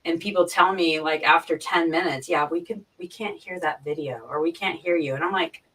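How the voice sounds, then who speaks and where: A woman talking casually on a livestream, explaining things informally and haltingly, often repeating her phrases. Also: on the low side, steady tone, background noise. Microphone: boom mic, sitting back from it. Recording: distant from the microphone.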